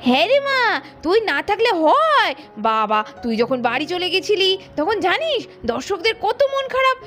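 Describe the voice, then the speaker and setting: A doting, proud young mother from a doll cartoon, voiced by a woman. Her voice is very high and sing-song with lively swings, and she chides her family fondly. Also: moderate pace, soft background music, heavily acted. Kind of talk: Bengali doll cartoon voice acting.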